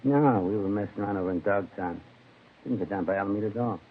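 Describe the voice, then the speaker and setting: An actor playing a hungover American laborer in a 1950s radio crime drama, slangy, aggrieved and rambling. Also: male voice, fairly low pitch, fast, phone-quality sound, natural voice. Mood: groggy, recollecting. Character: grumbling, hungover male laborer in jail. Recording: thin, faintly noisy vintage radio recording.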